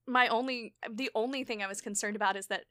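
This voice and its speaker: A woman's voice: a little high, steady tone, quick pace, pushed and bright, no room sound, clean studio sound. A woman hosting a podcast in casual conversation, chatty and laughing often as she tells stories. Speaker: podcast host.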